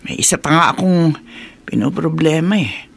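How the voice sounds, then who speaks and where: An expressive, kindly old Filipino grandmother. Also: low voice, normal speed, creaky, husky, exaggerated voice. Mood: worried. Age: older. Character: kindly, worried elderly Filipino grandmother, caring and hospitable. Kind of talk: Tagalog radio drama acting.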